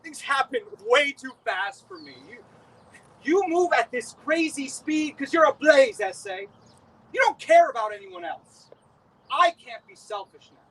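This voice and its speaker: A man's voice: very high voice, very animated, a little slow, fairly bright tone, recorded from a distance, full character voice, rough recording. A man on a live outdoor stage, playing a guarded, defensive young man in uniform in a tense scene.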